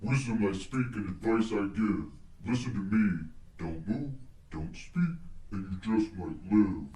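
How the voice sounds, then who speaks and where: A man acts out a hulking, menacing villain of a radio play. His dark, lowish voice intones pompous rhymed threats. It sounds distant from the mic in an echoing space. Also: animated. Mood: stern threat. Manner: slow, pompous, chanting in rhyme. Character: menacing male captor speaking in riddling rhymes, Bigfoot-like villain. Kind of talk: radio drama villain acting.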